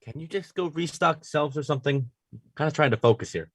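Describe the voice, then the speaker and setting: A man's voice: a little high, a little fast, slight background noise, lightly acted. A man playing a distracted, anxious baker in his twenties, offhand and hesitant in a comedy table read. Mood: distracted, curt.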